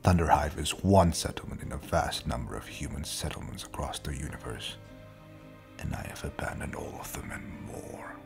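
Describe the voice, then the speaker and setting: A man acting a cold, condescending space pirate captain and father in an audio drama, with a gravelly voice that drops into breathy whispers. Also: a bass voice, expressive, darkish tone, faint music behind, full character voice. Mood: cold, dismissive. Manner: slow, measured, detached, menacing. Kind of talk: scripted audio roleplay drama.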